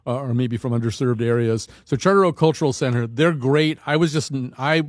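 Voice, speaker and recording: A man's voice: mid-range voice, a little fast, no room sound, studio quality. Chatty male radio call-in host. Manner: rambling, frequent fillers and restarts. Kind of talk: casual call-in radio talk. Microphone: studio microphone.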